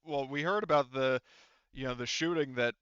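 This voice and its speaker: A man's voice: mid-range voice, normal speed, a bit bright, smooth, no echo, muffled recording, close to an everyday voice. A man playing a flustered, apologetic security officer who stammers and placates in a tabletop game. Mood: flustered, placating.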